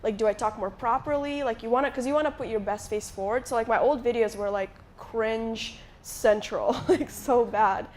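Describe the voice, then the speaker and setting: A woman's voice: a little high, normal speed, hardly any pauses. Casting note: young woman from Moldova, rambling and chatty, speaking live on a conference stage with faint noise behind her. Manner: chatty, many likes and restarts. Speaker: guest YouTuber. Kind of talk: casual onstage interview.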